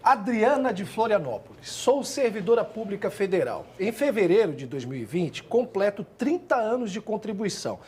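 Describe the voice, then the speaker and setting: A woman's voice: medium pitch, big pitch swings, normal speed, few pauses, darkish tone, a little hiss or hum. A Brazilian woman co-presenting a live TV news segment in a studio, friendly and conversational with her guest.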